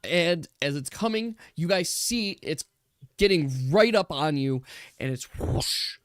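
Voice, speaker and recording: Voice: medium pitch, expressive, a little slow, smooth, no echo, studio quality. A game master narrating a tabletop role-playing game in a casual, conversational way.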